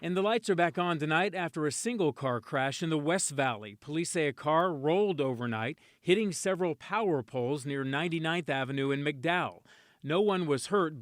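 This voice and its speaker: A man with an American accent reading scripted local news as a television anchor in a studio. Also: fairly high pitch.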